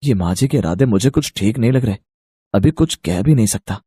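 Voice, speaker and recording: A man's voice: lowish voice, animated, a little fast, mellow, slightly rough, no room sound, studio quality. A man playing a decent young husband in a story, earnest and disapproving. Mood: uneasy, reproachful. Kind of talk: Hindi moral-story cartoon voice acting.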